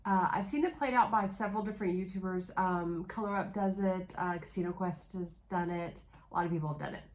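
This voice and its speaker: A woman's voice: fairly low pitch, a little fast, a little mellow. Chatty woman getting over a cold. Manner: casual, filler-heavy, chatty. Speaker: craps channel host. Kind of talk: casual video intro.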